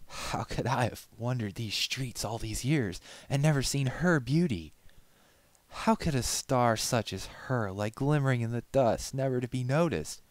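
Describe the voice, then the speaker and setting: A man's voice: medium pitch, animated, a little slow, no room sound, pitched above the performer's own voice, lightly acted. Man acting a smitten, courtly young stallion. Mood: lovestruck, pleading. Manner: hesitant, courtly, earnest. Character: smitten, shy, earnest young stallion pony.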